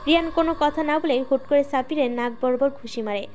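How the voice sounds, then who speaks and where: High-pitched woman narrating a story. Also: quick pace, darkish tone. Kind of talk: scripted dramatic narration.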